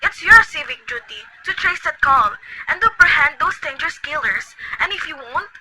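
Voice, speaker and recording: A woman voicing a frantic, fretful invalid wife in an amateur radio play, her voice very high and pleading. She talks with a shrill, demanding edge, over clear hiss in the recording. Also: lively intonation, normal speed. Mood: indignant. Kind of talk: student radio drama cover.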